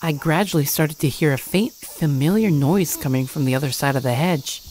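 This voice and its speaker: Low-voiced woman narrating a horror story. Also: normal speed, a little mellow, slight background noise.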